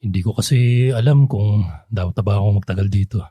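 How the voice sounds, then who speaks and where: Remorseful dad, middle-aged: very dark, husky, halting and pleading, radio drama over faint music. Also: male voice, lowish voice, dry recording. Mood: uneasy. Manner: halting, soft-spoken, apologetic. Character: remorseful middle-aged father, hesitant, pleading, hiding his true self.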